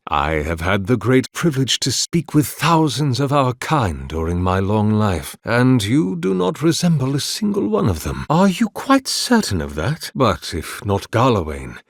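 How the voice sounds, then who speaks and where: An actor voicing an ancient, devout godlike priest in a video game, formal, courteous and melancholy, speaking in measured, archaic phrases. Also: male voice, medium pitch, no echo, clean studio sound. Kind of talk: solemn video game character dialogue.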